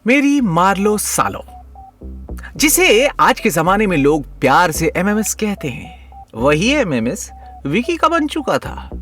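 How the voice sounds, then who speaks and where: A man's voice: high voice, huge pitch swings, measured pace, a bit bright, soft background music. A man narrating a scripted audio story in a dramatic storytelling style.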